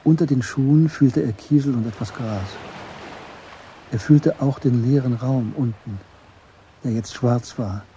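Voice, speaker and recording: A man narrating a German literary radio drama in a measured, understated way. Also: medium pitch, mellow, a little hiss or hum, natural voice.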